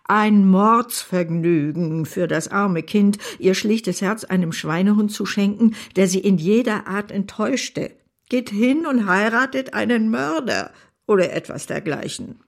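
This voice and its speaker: An actress in a radio play voicing a brusque, eccentric older aunt, emphatic and commanding with a creaky edge. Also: female voice, mid-range voice, a little slow, natural voice. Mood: indignant.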